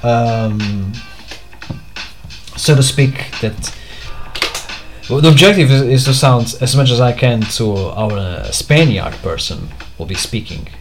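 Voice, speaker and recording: Man, mid-pitched, halting solo vlog, faint music behind. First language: Portuguese. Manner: hesitant, many fillers and restarts. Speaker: podcast host.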